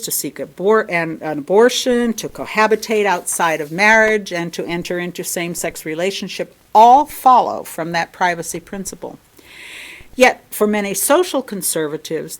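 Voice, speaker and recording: A woman reading a written sermon aloud in formal, measured phrases, with deliberate emphasis and pauses. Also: on the low side, poor audio. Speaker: lay reader giving a sermon. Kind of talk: sermon read from a written text.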